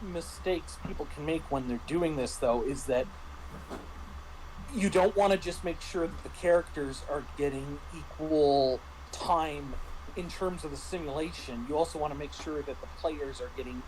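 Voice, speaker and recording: A man in a casual tabletop roleplaying discussion, speaking in a halting, explanatory way as a game master and panelist. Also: on the high side, darkish tone, slight background noise, low-quality recording.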